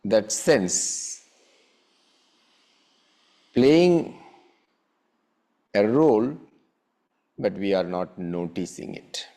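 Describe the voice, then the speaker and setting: Very slow, low-voiced male meditation guide. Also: big pitch swings, mellow. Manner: measured, with long pauses between short phrases. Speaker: meditation teacher.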